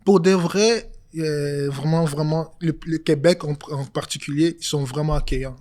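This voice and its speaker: Young man, hesitant relaxed chat. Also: on the high side, normal speed. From Congo. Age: 20s. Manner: relaxed, hesitant, many fillers. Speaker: guest. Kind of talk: casual interview chat.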